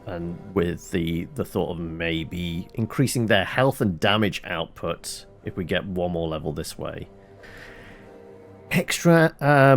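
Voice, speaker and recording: A male game streamer with a lowish, very animated voice that swings up and down. He talks casually at an unhurried pace, thinking out loud as he plays, with faint music behind him. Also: creaky. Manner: musing, asks and answers own questions. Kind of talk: casual gameplay commentary, thinking aloud.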